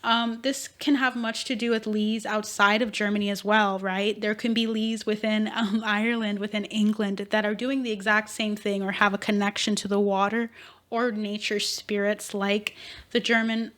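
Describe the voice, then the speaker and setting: A woman's voice: mid-range voice, flat delivery, moderate pace, fairly bright tone. A woman giving an intuitive reading mixed with history research, thinking aloud, rambling, with fillers and self-corrections. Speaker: intuitive reader. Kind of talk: tarot-style intuitive reading and history talk.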